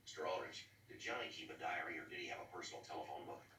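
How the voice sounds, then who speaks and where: An actor playing a businesslike police detective in a 1960s TV crime drama, with a clipped, questioning manner. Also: male voice, very deep voice, expressive, racing, pushed and bright, whispered, exaggerated voice. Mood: probing, polite. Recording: distant, roomy, very noisy television soundtrack.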